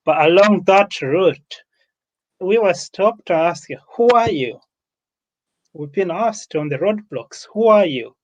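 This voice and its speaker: A man's voice: high voice, lively intonation, slow, lo-fi sound. A man with a Ugandan accent speaks off the cuff as host and speaker at an online summit, emphatic and repeating himself for effect.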